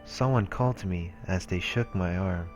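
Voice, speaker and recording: A man reading visual novel text aloud in a casual, halting way, as a let's player. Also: low-pitched, soft background music.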